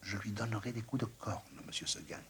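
A man voicing a wistful, stubborn young female goat in a soft, half-whispered, husky voice. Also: lowish voice, fairly even intonation, a little fast. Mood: pleading.

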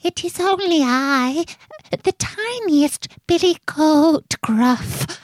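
A woman's voice: high voice, lively intonation, very slow, dry recording, pitched above the performer's own voice, exaggerated voice. A woman voicing a tiny, timid young billy goat with a small, pleading voice. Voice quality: small, thin voice.

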